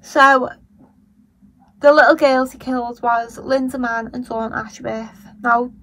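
A woman with a Liverpool accent talks through a news story in a croaky voice. Her voice sits high and bright. She speaks slowly, and her commentary is blunt and indignant, with swearing when she gets angry. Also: steady tone, rough recording. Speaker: true-crime commentary vlogger. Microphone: voice-over over still images.

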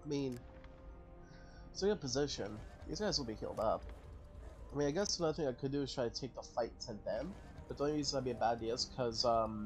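A man casually commenting on his strategy game, thinking aloud and correcting himself as he goes. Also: medium pitch. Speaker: gaming channel host. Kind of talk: casual let's-play commentary.

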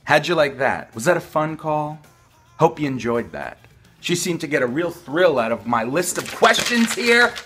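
A young man plays a mock-earnest prank caller with a deadpan manner. His voice is fairly high for a man and husky, and the pitch moves around a lot. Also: moderate pace, faint music behind. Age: young adult. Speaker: prank caller, video host. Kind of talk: deadpan prank phone call. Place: small furnished room at home, indoors.

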